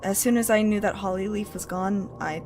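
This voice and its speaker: A woman voicing a stern, fiercely protective older mother cat with a firm, measured delivery in an animated fan drama. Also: mid-range voice, lightly acted. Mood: grave, recounting. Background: dramatic background music.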